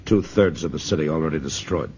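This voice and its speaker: An actor playing a flustered male army officer who reports in rapid bursts, in a 1950s radio drama. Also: rumbling low, a little mellow, slight background noise. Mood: shaken. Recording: dull old radio broadcast.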